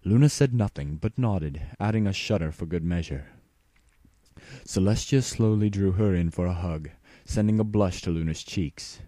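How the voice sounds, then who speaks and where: A man's voice: sits low, moderate pace, warm tone, dry recording. A man narrating a story as a dramatic reading.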